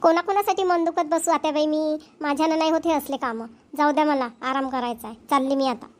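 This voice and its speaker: Cartoon daughter-in-law acted by a woman, lazy and gossipy, high voice, rapid Vidarbha Marathi chatter. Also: fairly even intonation, a little hiss or hum, close to an everyday voice. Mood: defiant. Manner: whiny, gossipy, dismissive. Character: selfish, work-shy young daughter-in-law in a joint family. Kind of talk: family comedy cartoon dialogue.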